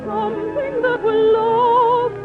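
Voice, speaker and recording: A woman's voice: very high voice, flat delivery, slow pace. A woman playing a lonesome, well-spoken young frontier woman in an old-time radio musical drama. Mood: yearning singing. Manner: earnest, well-spoken, formal diction. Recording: thin, phone-like 1950s radio broadcast, faint music.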